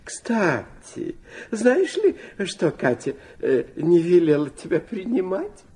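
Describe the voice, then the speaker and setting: Slow, dithering man. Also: high voice, wildly swooping pitch, darkish tone, full character voice. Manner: halting, rambling, full of hems. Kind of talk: radio play acting.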